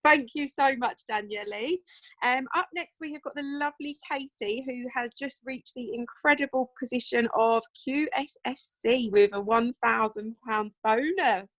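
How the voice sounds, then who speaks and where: A woman's voice: on the high side, moderate pace, fairly bright tone, rough recording. A woman hosting an online business presentation, effusive and friendly as she introduces the speakers. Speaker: host.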